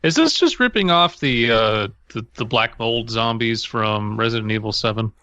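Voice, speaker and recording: A man with a bright, forward voice co-hosting a horror fiction podcast, reading and tossing in wry asides. The sound is dry and close, on a slightly dull lo-fi mic. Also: medium pitch, lively intonation, normal speed, dry recording. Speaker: podcast co-host reading stories. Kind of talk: casual podcast chat and reading aloud.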